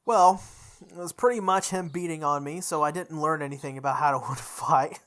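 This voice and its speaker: A woman playing through a visual novel, reading its text aloud with expressive, goofy casual commentary, sometimes correcting her stumbles. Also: low-pitched, a little fast. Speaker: host of a visual novel playthrough.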